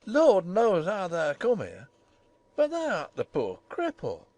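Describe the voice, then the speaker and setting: A woman voicing an ignorant, tactless old male gardener in a storybook, hoarse and shaky, with halting Yorkshire dialect. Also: lowish voice, huge pitch swings, warm tone, dry recording, heavily acted. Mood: awed, shaky. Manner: halting, rustic dialect speech.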